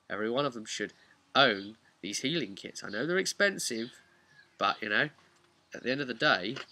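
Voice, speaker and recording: A man's voice: medium pitch, sharp tone. A man speaking informally as a game master, recounting a tabletop campaign with emphatic asides. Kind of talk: vlog storytelling, informal explanation.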